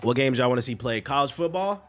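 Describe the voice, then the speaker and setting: A man's voice: mid-range voice, lively intonation, fast, soft background music. A man with a stuffy, congested voice, reading news aloud and chatting casually on a live stream. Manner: casual, reads news aloud then riffs on chat. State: congested from a cold.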